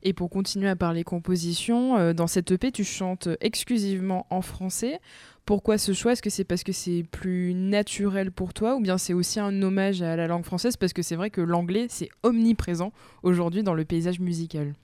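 A woman interviewer asking prepared questions in a measured way. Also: lowish voice, warm tone, dry recording.

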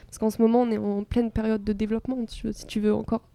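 A woman's voice: fairly high pitch, level tone, fast talker, gravelly, dark tone. A young woman in her twenties, a band singer chatting upbeat in an interview, laughing often. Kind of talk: casual interview chat.

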